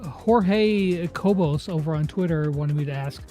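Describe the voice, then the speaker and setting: A man's voice: a little high, a little slow, mellow, faint music behind. A man hosting a casual interview, chatting in a slangy, enthusiastic way. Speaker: interview host.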